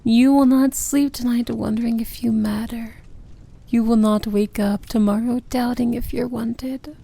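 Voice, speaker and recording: A woman playing a powerful, possessive princess of evil in a romantic fantasy ASMR roleplay, breathy and often whispering, commanding yet tender. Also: on the high side, steady tone, unhurried, mellow, exaggerated voice. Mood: adoring, intense.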